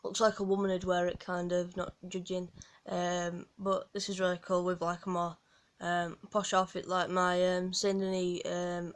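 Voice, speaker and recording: A woman with a Yorkshire accent gives a rambling, casual walkthrough of a game from home, full of ums and restarts. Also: fairly low pitch. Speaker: gaming guide creator.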